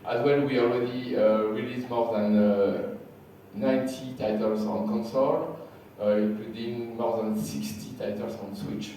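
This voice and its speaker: A man from France with a French accent, slightly sick and losing his voice, giving a conference talk with halting, repeated words. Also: mid-range voice, fairly even intonation, dark tone. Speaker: conference speaker. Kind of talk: conference presentation. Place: large hall. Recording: echoey hall from far off, faint hiss.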